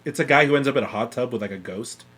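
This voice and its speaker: A man's voice: medium pitch, a crowd in the background. A man co-hosting a podcast with casual, geeky banter, enthusiastic, agreeable and sweary. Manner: enthusiastic, quick to agree, profane. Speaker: podcast co-host.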